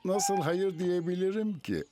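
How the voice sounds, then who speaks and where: A man's voice: high voice, measured pace, over loud music. A man voicing a bashful, polite, awkward character in a children's cartoon, stammering hesitantly. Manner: hesitant, stammering, chuckling shyly. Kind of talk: animated children's series dub.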